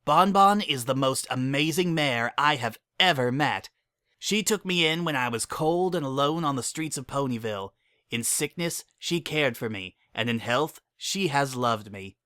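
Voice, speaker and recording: A man's voice: medium pitch, lively intonation, moderate pace, pushed and bright, no echo, clean studio sound, pitched above the performer's own voice. A man voicing an adoring female unicorn in a story, speaking in an earnest, heartfelt, speech-making way. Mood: tender, adoring.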